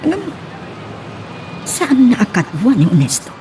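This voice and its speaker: A woman's voice: a little high, sing-song, a little slow, a crowd in the background, noisy background. A woman playing a watchful informant in an audio drama, reporting on what she has seen.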